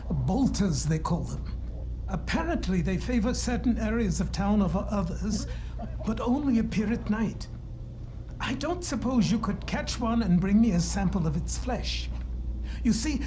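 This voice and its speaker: A man's voice: high voice, warm tone, slight background noise. A male actor playing a courteous, absent-minded scientist in a video game, formal and hesitant, given to muttering to himself. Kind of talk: video game cutscene acting. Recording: music behind the voice.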